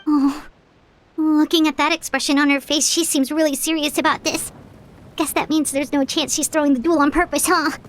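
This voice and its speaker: An actress voicing a tiny, excitable fairy companion who chatters eagerly and asks question after question. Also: female voice, high-pitched, moderate pace, a little hiss or hum, natural voice. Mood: thoughtful.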